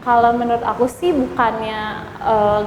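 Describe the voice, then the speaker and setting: A woman's voice: on the high side, fairly even intonation, moderate pace, a little hiss or hum. A woman in her twenties answering interview questions in a chatty, hesitant way. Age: 20s. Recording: echoey room with long reverb.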